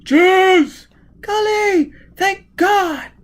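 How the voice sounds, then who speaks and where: A high, thin and breathy young woman, as a male narrator puts her on in an adventure audiobook. She sounds worn out and frightened, with a snappish edge, and sometimes drops toward a whisper. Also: extremely slow, heavily acted. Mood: breathless relief.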